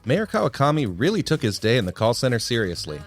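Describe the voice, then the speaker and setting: A man's voice: medium pitch, sing-song, fast, faint music behind. A man hosting and narrating a scripted TV segment. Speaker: host and narrator.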